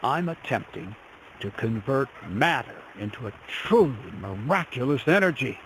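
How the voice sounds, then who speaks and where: An actor playing an eccentric miller with grand, cagey talk about secret experiments. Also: male voice, medium pitch, sing-song, normal speed, fairly bright tone, slight background noise, low-quality recording. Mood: grandiose.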